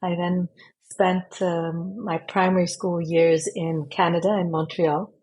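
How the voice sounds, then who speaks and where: A woman's voice: lowish voice, level tone, a little slow, darkish tone, breathy edge. A woman born in Israel and raised in Switzerland, a podcast guest who talks thoughtfully and often repeats a word as she searches for it. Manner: thoughtful, with frequent repeated words. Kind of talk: casual interview.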